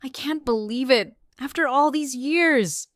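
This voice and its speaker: A woman's voice: high voice, unhurried, no room sound, clean studio sound. A woman playing an earnest, emotional adult stepdaughter in an audio story. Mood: tearful. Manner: trembling, earnest. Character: emotional woman meeting her former stepfather.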